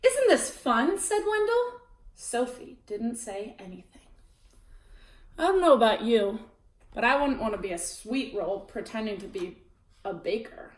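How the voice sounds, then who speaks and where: A woman reading a children's picture book aloud as storyteller, expressive, with chatty asides to her listeners. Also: fairly high pitch, unhurried. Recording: roomy echo, distant mic.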